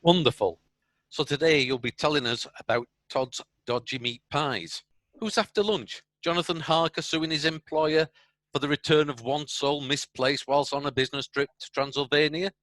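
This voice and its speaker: A man acts a jokey male magistrate with a bright, forceful voice and a very dry sound. He is wry and a little pompous, in comic stage style. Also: medium pitch, no echo, lightly acted. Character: flippant, jovial male magistrate. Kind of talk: comic courtroom stage play acting.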